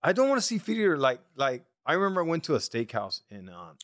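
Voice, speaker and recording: A man with an occasional stutter, swapping stories in loose podcast banter. His pitch moves a lot as he talks, and the sound is dry and close, with no room around it. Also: fast, no room sound. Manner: occasional stutter, animated storytelling. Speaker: podcast co-host and stand-up comic. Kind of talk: casual banter.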